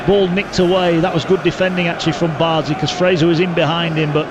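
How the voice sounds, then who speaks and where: Brisk male football commentator, loud crowd behind. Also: fairly high pitch, little pitch movement, lo-fi sound. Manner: brisk play-by-play, rising with the action. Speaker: play-by-play radio commentator. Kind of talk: live football radio commentary. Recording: broadcast with loud stadium noise behind.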